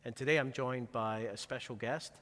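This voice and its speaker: A man with a mid-pitched voice hosts a live church interview as a pastor. The long reverb of a large hall trails his words. His delivery is conversational and halting, often rephrasing as it goes. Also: fairly bright tone. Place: church, before the altar.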